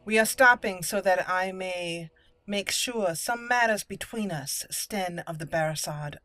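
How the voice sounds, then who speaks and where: A woman hosting a roleplay video game playthrough, moving between deliberate in-character lines and casual commentary. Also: lowish voice, sharp tone, no echo, faint music behind. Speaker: gaming video host.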